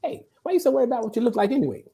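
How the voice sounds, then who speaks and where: A woman's voice: fairly high pitch, expressive, rapid-fire, gravelly, dark tone, slight background noise. A woman playing a streetwise, brash male barber giving folksy, slangy advice in a comedy table read. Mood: brash, advising.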